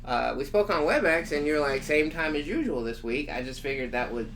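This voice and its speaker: A man's voice: fairly high pitch, lively intonation, brisk, a bit bright, a little hiss or hum. A young man co-hosting a casual podcast chat, rambling and laughing often. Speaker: podcast co-host.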